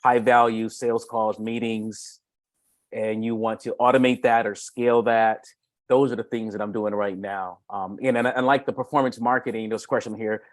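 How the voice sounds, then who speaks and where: A man's voice: on the low side, fairly even intonation, moderate pace, dry recording. A middle-aged man talking casually and off the cuff about his work, often doubling back and repeating phrases. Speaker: B2B marketing consultant. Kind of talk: casual, unscripted self-introduction in a meeting.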